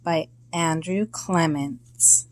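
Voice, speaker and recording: A woman reading a children's story aloud in a deliberate, expressive way, in short phrases with pauses. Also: sits low, background noise.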